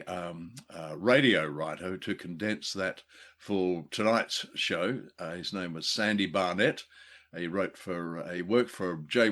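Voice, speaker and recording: A man co-hosting a podcast in casual, unscripted discussion, with halting, hesitant phrasing. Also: low-pitched, fairly bright tone. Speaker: podcast co-host.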